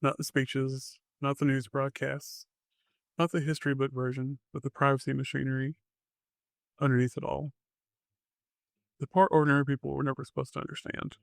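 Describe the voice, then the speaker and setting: A male podcast host narrates a mystery script in a gravelly, creaky voice. He speaks in short, dramatic phrases and slips in wry asides. The sound is dry and close, and his pitch stays fairly even. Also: moderate pace, pauses often, no room sound.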